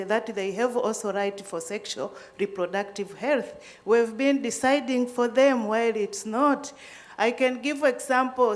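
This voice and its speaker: A middle-aged woman with a Tanzanian accent, a student making an earnest, unscripted comment from the audience. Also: on the high side.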